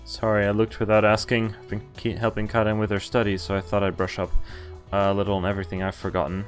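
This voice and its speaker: A man's voice: on the low side, completely flat delivery, fast talker. A man reads a visual novel aloud over game music. He slips between reading the script and chatty, informal asides of his own, joking as he goes. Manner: informal, breaks off reading to joke and comment. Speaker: streamer playing a visual novel.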